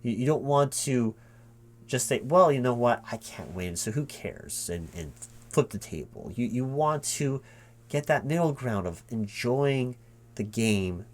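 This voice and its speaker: A man playing a flustered, evasive recruiting manager in a one-sided ASMR job interview roleplay, halting, repeating and correcting himself as he rambles. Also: medium pitch, lively intonation. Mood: earnest, approving.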